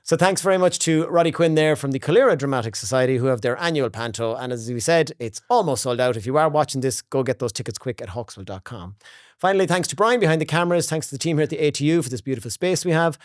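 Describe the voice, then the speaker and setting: A man's voice: a little high, expressive, fast talker, no echo, studio quality. An Irish man hosting a casual, conversational local interview show. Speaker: talk show host.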